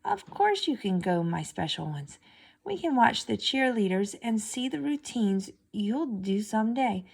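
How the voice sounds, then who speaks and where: A commanding storybook stepmother, as a woman reader puts her on. She is self-important and bossy, her pitch lifted a little above an everyday voice. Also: very animated, unhurried, gravelly, exaggerated voice. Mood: doting. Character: evil stepmother, self-important middle-aged woman, bossy, doting on daughters.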